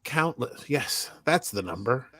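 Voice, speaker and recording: A man's voice: mid-range voice, expressive, a little slow, bright, forceful tone. A man co-hosting a casual TV recap podcast, talking in a rambling, conversational way full of loose asides. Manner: rambling, conversational, hedging with like and whatever. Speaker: podcast co-host. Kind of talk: casual TV episode recap chat.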